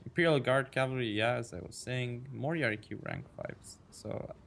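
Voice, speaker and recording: A man in an ordinary middle register co-commentates a strategy game match. He talks in a relaxed, laid-back way as he goes over army builds. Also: mid-range voice, moderate pace, creaky, a little hiss or hum.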